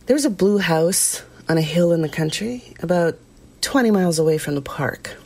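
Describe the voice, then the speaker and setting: A woman's voice: on the low side, lively intonation, normal speed, noisy background. An actress playing a troubled woman recounting a frightening ordeal in an audio drama, reflective and hesitant. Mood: subdued, haunted.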